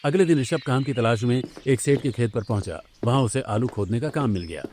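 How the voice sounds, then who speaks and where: A man's voice: medium pitch, a little fast, darkish tone. A man narrating a scripted domestic story for a cartoon.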